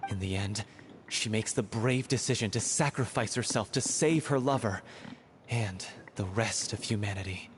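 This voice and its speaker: A man playing an earnest, grieving young stage actor in a video game, speaking in a measured, dramatic way. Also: on the low side, a little hiss or hum, natural voice. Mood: solemn storytelling. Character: earnest grieving young theatre troupe actor, heartfelt storyteller.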